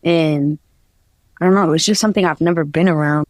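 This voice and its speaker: A woman's voice: deep voice, steady tone, fairly quick, dry recording, clean studio sound. A young woman from New Jersey, a guest artist, talking casually in an interview and rambling with many fillers. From New Jersey, USA.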